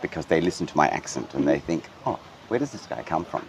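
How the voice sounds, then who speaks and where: A middle-aged man from Denmark, a hotel general manager, speaking thoughtfully in a relaxed interview, with frequent ums and restarts. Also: very deep voice, fast, gravelly, a little hiss or hum. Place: hotel grounds.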